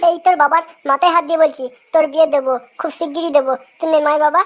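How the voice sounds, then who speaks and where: Woman as a high-voiced village mother. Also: fairly even intonation, very fast, close to an everyday voice. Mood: coaxing. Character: exasperated but indulgent village mother. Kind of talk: comic Bengali cartoon dubbing.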